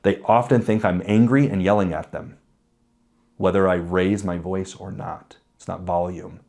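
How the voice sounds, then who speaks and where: The low, raspy man in his thirties across the table in an interview, talking frankly through lessons from his own life. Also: fairly even intonation, moderate pace, darkish tone. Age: 30s. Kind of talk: reflective conversational interview answers with anecdotes.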